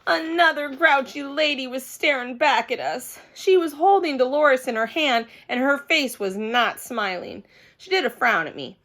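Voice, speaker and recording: A woman reading a children's book aloud in an expressive, animated storytelling style with dramatic emphasis. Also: high voice, moderate pace.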